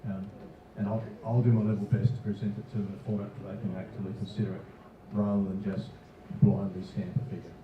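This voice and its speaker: A man with a lowish voice answers questions at a public meeting, halting and restarting his sentences. He is heard from some distance in a strongly echoing hall, and the sound is dull with a faint hiss. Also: flat delivery. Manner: hesitant, halting, many fillers. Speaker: council official answering questions. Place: civic centre meeting hall.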